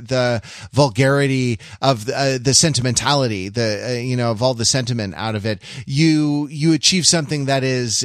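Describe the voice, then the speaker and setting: A man co-hosting a podcast in casual discussion, rambling and halting as he thinks his points through. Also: mid-range voice, fairly bright tone, no echo, studio quality. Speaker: podcast co-host.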